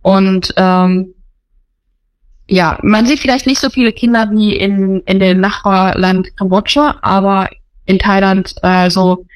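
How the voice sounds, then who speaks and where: A woman's voice: lowish voice, dry recording, poor audio. Earnest woman, searching for words. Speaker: missionary interviewee. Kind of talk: casual interview talk.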